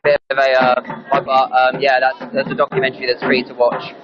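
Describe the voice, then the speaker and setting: A man's voice: mid-range voice, completely flat delivery, a little fast, heavy background noise. A man with an Essex accent giving a casual interview at a protest, earnest and somewhat hesitant. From Essex, England. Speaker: activist being interviewed. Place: live at a protest. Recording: muffled, loud music behind.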